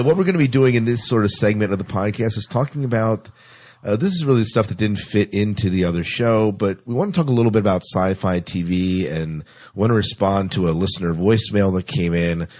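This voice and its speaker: Male podcast host, low register, husky, casual conversational delivery. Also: darkish tone. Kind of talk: casual chat.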